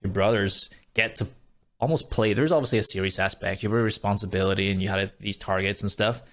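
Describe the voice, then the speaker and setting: A man with a Scottish accent speaks in a fairly low register. He is hosting an interview podcast and talks in a conversational way, as if exchanging thoughts with his guest. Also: fast, fairly bright tone. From Scotland.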